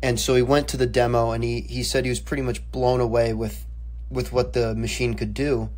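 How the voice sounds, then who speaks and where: A young adult man speaks as a student reporter on a podcast. His pitch is average and moves very little, giving a level sound to his informal, loosely worded explanations. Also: little pitch movement, brisk, a little mellow.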